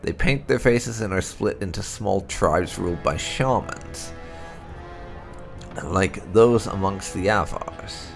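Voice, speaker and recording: Creaky-voiced man narrating fantasy lore. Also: sits low, slow, faint music behind. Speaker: gaming channel host reading game lore aloud. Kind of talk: scripted lore narration, read aloud.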